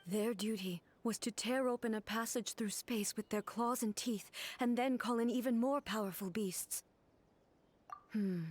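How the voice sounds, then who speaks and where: A solemn, soft-spoken divine ruler. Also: female voice, a little high. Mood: grave. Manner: measured, grave, soft-spoken. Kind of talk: video game cutscene dialogue.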